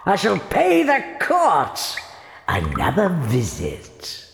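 A woman's voice: sits low, wildly swooping pitch, takes it slowly, creaky, exaggerated voice. A woman playing a menacing, gloating evil fairy villainess in an audio fairytale, theatrical and cackling. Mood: gloating, triumphant. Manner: theatrical, cackling, swooping from hiss to cry. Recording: roomy, long echoing reverb.

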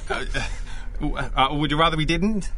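An actor playing an awkward, earnest young photographer in an audio drama, stammering and hesitant. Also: male voice, fairly high pitch, normal speed, sharp tone. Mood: flustered.

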